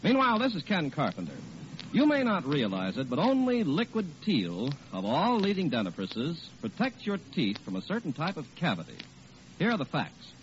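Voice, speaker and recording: A man's voice: a little high, huge pitch swings, normal speed. An American man announcing and reading ads in a 1940s radio style. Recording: muffled old radio recording, faint noise.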